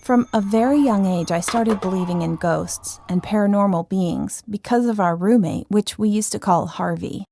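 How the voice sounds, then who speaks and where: A woman tells a first-person ghost story from a script. Her voice is of medium pitch and has a noticeable creak to it. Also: normal speed, hardly any pauses, mellow, a little hiss or hum. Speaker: story narrator.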